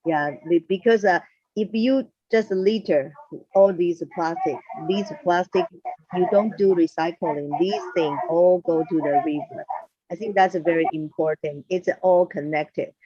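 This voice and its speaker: A woman's voice: lowish voice, mellow, no room sound. A woman from Taiwan, a primary school teacher, speaking hesitantly with many fillers in an online meeting. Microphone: video call. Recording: muffled dry video call audio.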